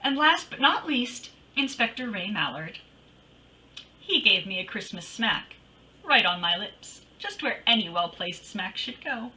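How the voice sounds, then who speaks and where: Woman doing a sassy old-radio lady gumshoe, very bright n swoopy, wry, a bit off mic. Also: mid-range voice, sing-song, a little slow, far from the mic, slight background noise, heavily acted, low-quality recording. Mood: playful. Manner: wry, dramatic storytelling. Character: wry, sassy female private detective telling her case. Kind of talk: old-time radio detective narration.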